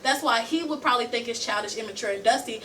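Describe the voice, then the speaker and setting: A woman's voice: on the high side, fast talker, fairly bright tone, recorded from a distance. A twenty-one-year-old woman co-hosting a podcast, chatting casually in a rambling way as she explains herself. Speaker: podcast co-host. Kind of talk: casual chat. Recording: off-mic, faint room noise.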